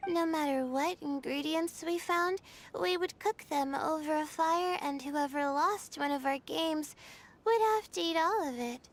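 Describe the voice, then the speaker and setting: A woman playing a wistful, gentle young woman in a video game, reminiscing in an unhurried, soft-hearted way. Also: high voice. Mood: nostalgic. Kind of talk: game character dialogue. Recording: game music behind the voice. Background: background game music.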